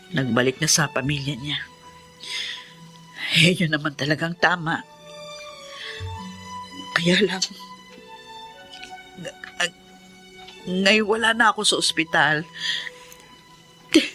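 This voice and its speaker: A woman's voice: on the low side, takes it slowly, long pauses, sharp tone, soft background music. A woman playing a tender, grateful, devout character with emotive delivery in a Filipino radio drama. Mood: sorrowful, halting.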